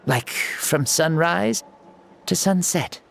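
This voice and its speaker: A breezy, high-voiced ancient hero reborn young. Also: male voice, animated, slow, vocal fry, fairly bright tone, full character voice. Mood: breezy, playful. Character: revived ancient legendary founder in a boy's body, breezy and wise. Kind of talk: video game voice acting. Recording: background music under the voice. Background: music.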